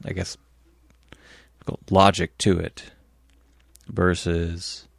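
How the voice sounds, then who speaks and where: Deep-voiced male co-host with vocal fry, chatty storyteller, recorded dry. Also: no echo. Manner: chatty, rambling, reads headlines aloud. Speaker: podcast co-host. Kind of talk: casual chat.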